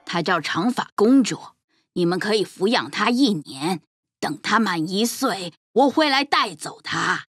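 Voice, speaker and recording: A woman's voice: mid-range voice, lively intonation, a little slow, a bit bright, husky, dry recording, clean studio sound, soft background music. A woman voicing a cruel, scheming witch in a children's fairy-tale cartoon, with a sly, theatrical delivery. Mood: menacing. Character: cruel scheming witch, feigning sweet kindness.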